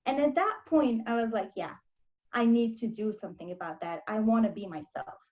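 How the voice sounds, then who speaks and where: A woman's voice: a little high, a little mellow, muffled recording. A young woman from Lebanon, a life coach, telling her story candidly in an interview over a video call. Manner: candid, storytelling, quick asides. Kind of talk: interview conversation.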